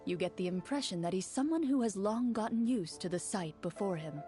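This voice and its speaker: A woman's voice: mid-range voice, fairly quick, over music, close to an everyday voice. A woman voicing a hesitant game narrator. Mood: calm narration. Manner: hesitant and flustered in character, even as narrator. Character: young woman game character narrating and playing a troubled wife. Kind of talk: video game voice acting, role-play narration.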